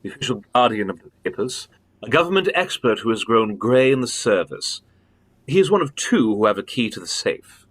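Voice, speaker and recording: An actor playing a pompous, imperious elder-brother government official in a radio drama, with emphatic theatrical delivery. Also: male voice, medium pitch, very animated, brisk, slightly rough, rough recording. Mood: brisk, assured.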